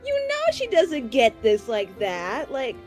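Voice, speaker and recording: A woman in expressive, slangy casual chat about a tabletop game, acting out bits of dialogue as she retells them. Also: sits high, takes it slowly. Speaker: tabletop roleplaying game player. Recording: music playing underneath.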